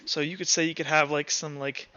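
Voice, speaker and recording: A man with a high, bright voice runs a tabletop game as game master. He narrates casually and haltingly, piling up filler words. The sound is close and dry but a bit lo-fi. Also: flat delivery, dry recording. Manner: halting, rambling, heavy use of "like".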